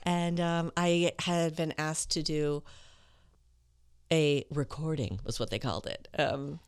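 A woman talking conversationally as an interview guest, explaining and telling stories in an articulate, clear, smooth voice. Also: sits low, fairly even intonation, takes it slowly, no echo, clean studio sound. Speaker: voice-over artist and interview guest.

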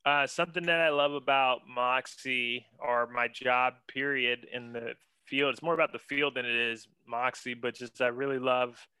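Young male guest, bright and crisp, casual slangy chatter, enthusiastic. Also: mid-range voice, fairly even intonation, normal speed, hardly any pauses, no echo. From western Pennsylvania. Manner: laid-back, slangy, enthusiastic, says man a lot. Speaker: guest, senior art director. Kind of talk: casual interview chat.

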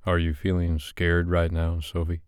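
A man playing a laid-back, reassuring photographer and lover in a romance audiobook, speaking in a calm, coaxing way. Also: low voice, normal speed, clean tone, no room sound, clean studio sound, natural voice. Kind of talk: romance audiobook character dialogue.

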